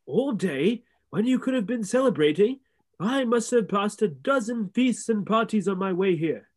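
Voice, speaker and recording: A man reading a story aloud, putting on a gentle, very old wizard with a raised, slow, kindly voice, set back from the mic. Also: high voice, lively intonation, voice pushed up.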